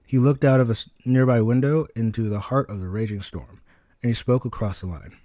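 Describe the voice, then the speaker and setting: A man reading a story aloud as scripted, expressive narration. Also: medium pitch, brisk, dry recording, clean studio sound. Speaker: podfic narrator, guest reader.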